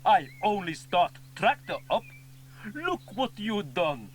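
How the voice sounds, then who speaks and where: Scolding male tractor driver acted by a man, children's cartoon, exasperated, drawn-out pace. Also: high-pitched, big pitch swings, slight background noise, pitched above the performer's own voice, full character voice. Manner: clipped broken grammar, exasperated. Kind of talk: children's cartoon character acting.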